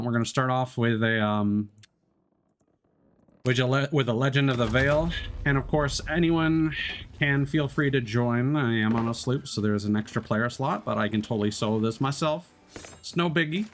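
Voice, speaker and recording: A young man talks casually into a desk microphone while live-streaming from home. He rambles, loses his train of thought, and drops in swear words and fillers along the way. His voice sits at an ordinary middle pitch for a man. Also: slight background noise. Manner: rambling, scatterbrained, many fillers and restarts.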